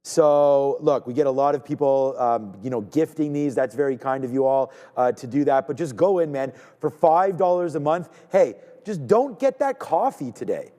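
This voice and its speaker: Mellow-voiced host, rambling pitch. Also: male voice, animated, moderate pace, few pauses, in a large hall. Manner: loose, salesy, rambling with restarts. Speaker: trading show host. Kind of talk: casual live-stream banter. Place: studio in Toronto.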